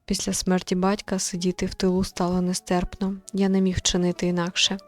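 A woman voicing a grave young male war veteran in a visual novel, speaking in a slow, somber manner. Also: fairly low pitch, monotone, soft background music, natural voice.

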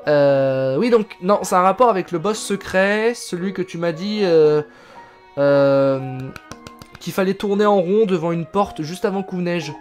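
A man's voice: high voice, unhurried, faint music behind. A young man in his twenties chatting casually while playing a game on stream, reading text aloud and answering chat. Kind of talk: casual live gameplay commentary. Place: indoors, gaming chair at home.

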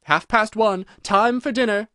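Man doing an eccentric, high-pitched, pompous hatter. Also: unhurried, sharp tone, clear, resonant tone, dry recording, studio quality. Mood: contemptuous, emphatic. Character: eccentric, contemptuous, argumentative male hatter. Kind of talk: storybook reading.

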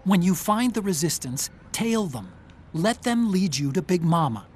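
An actor playing an earnest, brainy tech ally in a video game, giving clear, urgent mission briefings. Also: male voice, high-pitched, very animated, moderate pace, slight background noise.

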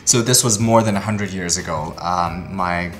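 A man's voice: low-pitched, moderate pace. A man in his thirties with a Pakistani accent, speaking hesitantly in short phrases in a personal interview. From Quetta, Pakistan. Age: 30s. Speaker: dancer, documentary subject. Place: living room, indoors.

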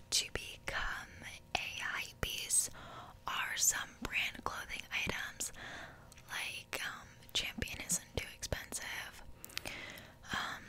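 A young adult woman whispering a casual ASMR roleplay close to the microphone, explaining things hesitantly with pauses. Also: bright, forceful tone. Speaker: ASMR creator. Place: small bedroom, indoors. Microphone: close desk microphone.

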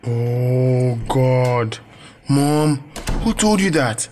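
An actor playing a nervous, evasive young student with a Nigerian accent, defensive and apologetic in a radio drama. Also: male voice, medium pitch, expressive, drawn-out words, dark tone, slight background noise.

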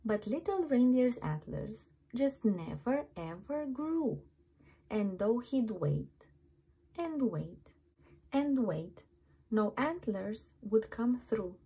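A woman reading a rhyming picture book aloud at bedtime in a gentle, half-whispered, breathy way. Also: medium pitch. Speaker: storybook reader. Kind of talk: bedtime story read-aloud.

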